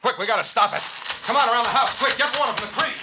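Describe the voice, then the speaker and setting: An actor playing a commanding, wry, tough captain who gives clipped orders and wisecracks in old-time radio style. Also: male voice, very high-pitched, very fast. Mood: urgent, excited. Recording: noisy old radio recording with clicks.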